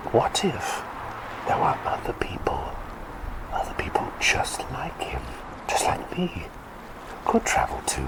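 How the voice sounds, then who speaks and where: A man voicing a quirky, kindly male bird plague doctor in whispered ASMR roleplay, rambling and halting at times, then soft and reassuring. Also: extremely low pitch, gravelly, full character voice, poor audio.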